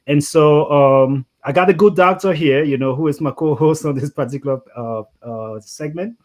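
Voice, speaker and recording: A man's voice: a little high, normal speed, darkish tone. A man hosting a conversational interview, rambling and often saying "you know". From Liberia. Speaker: talk show host.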